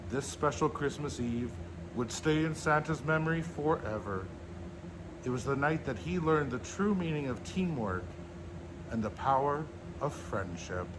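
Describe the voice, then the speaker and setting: A man reading a children's storybook aloud in a measured, expressive storyteller's manner. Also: mid-range voice, background noise. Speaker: storyteller in a Santa persona, host.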